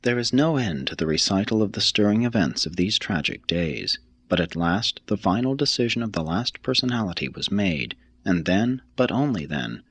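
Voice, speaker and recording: Deep-pitched, expressive male audiobook narrator. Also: husky, no echo. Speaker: audiobook reader. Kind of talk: scripted narration of a religious text.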